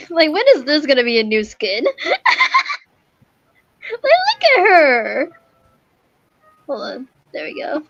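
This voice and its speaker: A woman's voice: high-pitched, wildly swooping pitch, slow pace, vocal fry, pauses often, fairly bright tone, no room sound, dull audio. A woman giving casual, slangy gameplay commentary in a giggly, gushing manner. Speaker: gaming streamer.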